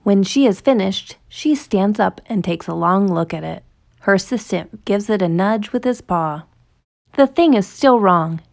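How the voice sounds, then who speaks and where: A woman reads a children's picture book at an unhurried pace, with noticeable creak in her voice. Her delivery is expressive and deliberate, drawing out key words for young listeners. Her pitch sits in a middle range for a woman. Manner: expressive, deliberate storytelling with drawn-out emphasis. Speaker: storytime reader.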